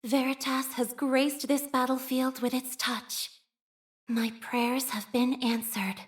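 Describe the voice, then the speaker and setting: An actress voicing a soft-spoken, devout young woman scholar of a religious order in a video game, speaking formally and solemnly. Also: high-pitched, level tone. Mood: gentle, devout. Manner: formal, solemn, measured, reverent. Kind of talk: scripted video game character voice lines.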